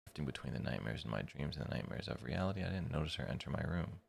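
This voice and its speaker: A man reading a visual novel's inner monologue as a tormented, bitter young man, in a very deep, gravelly voice with a measured literary delivery. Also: expressive, heavily acted. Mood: grim. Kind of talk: read-aloud visual novel narration.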